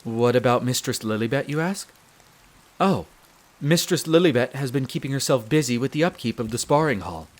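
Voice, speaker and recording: A man's voice: medium pitch, lively intonation, normal speed, close to an everyday voice. Man playing a formal, deferential castle butler. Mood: courteous, concerned. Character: courteous, devoted male head servant of a vampire castle. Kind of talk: scripted roleplay audio drama, addressing the listener.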